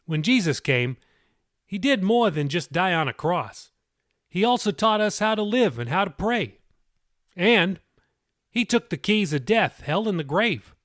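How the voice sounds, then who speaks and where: A man's voice: high-pitched, big pitch swings, a little slow, a bit bright, dry recording, clean studio sound, full character voice. A man voicing an earnest male pastor in an audiobook, reasoning aloud and teaching from scripture. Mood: explaining, speculative.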